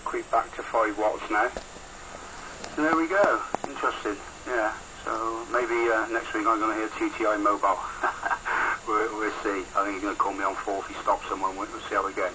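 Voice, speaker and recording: Low, flat man over noisy radio. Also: moderate pace. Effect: heard over a two-way radio. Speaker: amateur radio operator. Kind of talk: casual radio contact chat. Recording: noisy two-way radio transmission through a speaker.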